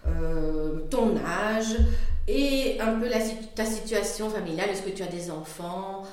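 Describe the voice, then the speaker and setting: A woman interviewer asks her questions slowly, stumbling and filling pauses as she goes. Her voice sits mid-range. The recording has heavy room echo. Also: medium pitch, a little mellow. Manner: hesitant, halting with many fillers.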